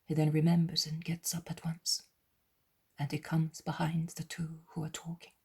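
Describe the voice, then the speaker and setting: A woman narrating a religious story in a soft whisper. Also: low-pitched, monotone, moderate pace, gravelly, husky. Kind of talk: reading a religious story aloud.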